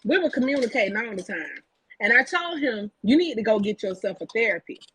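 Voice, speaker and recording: A woman's voice: on the high side, sing-song, fairly quick, a bit bright, breathy edge, rough recording. A woman chatting casually and candidly about her own relationship, repeating phrases for emphasis. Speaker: talk show panelist. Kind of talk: casual group chat, personal storytelling.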